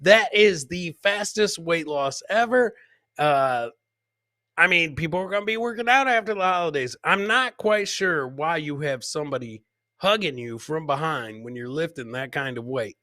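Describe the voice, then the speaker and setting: Bright-voiced man hosting comedy clips. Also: fairly high pitch, lively intonation, moderate pace, no room sound, clean studio sound. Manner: joking, teasing, repeats punchlines. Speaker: host of a comedy clip show. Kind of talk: live comedic commentary on video clips.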